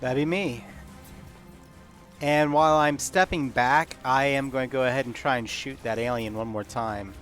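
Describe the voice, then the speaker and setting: A man's voice: mid-range voice, moderate pace, a bit bright, soft background music. A man talking casually as a player taking a turn in a tabletop roleplaying game.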